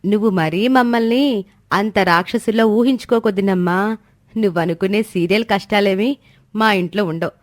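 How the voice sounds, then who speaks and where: A woman's voice: medium pitch, moderate pace, dry recording, clean studio sound, close to an everyday voice. A woman voicing mischievous young women of a village family in dramatic, chatty story dialogue. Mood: reassuring, playful. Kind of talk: animated moral story dialogue.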